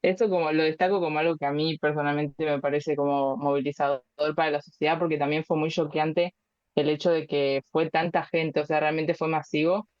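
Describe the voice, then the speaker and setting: Young Argentine woman, clear voice. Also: deep voice, flat delivery, a little fast, a little mellow, no echo, muffled recording. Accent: Argentine Spanish. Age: 20s. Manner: thoughtful, hesitant, many fillers. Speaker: guest. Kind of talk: casual interview chat.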